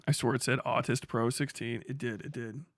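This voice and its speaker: A man's voice: mid-range voice, steady tone, brisk, dry recording, clean studio sound. A young man casually chatting on a livestream, reading chat aloud, swearing freely and laughing often as he rambles.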